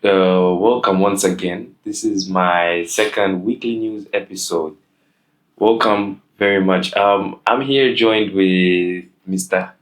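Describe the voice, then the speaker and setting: A man's voice: sits low, fairly even intonation, slow pace. A young man hosting a casual interview, talking in a hesitant way with many fillers. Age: young adult. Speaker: host.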